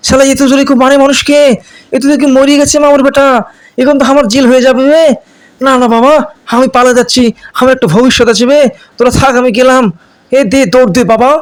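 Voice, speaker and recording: A man's voice: very high voice, little pitch movement, fast talker. A man with a Bangladeshi accent voicing a domineering student gang leader in a comedy cartoon, interrogating and threatening. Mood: panicked. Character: bossy, bullying male university student gang leader.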